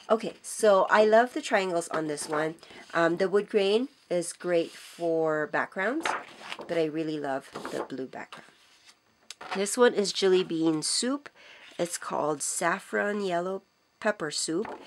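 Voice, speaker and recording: A hoarse middle-aged woman with a cold, chatting casually and enthusiastically as she shows off craft supplies, with frequent ums and self-corrections. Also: on the low side, measured pace, slight background noise. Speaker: craft vlogger. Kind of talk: casual show-and-tell haul. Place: indoors at a craft table.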